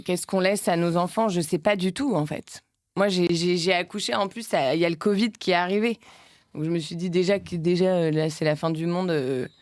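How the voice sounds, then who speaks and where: A woman's voice: lowish voice, no echo. Woman, late 30s, actress-producer type, loose rambling interview talk, dry sound. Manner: rambling, many restarts and fillers.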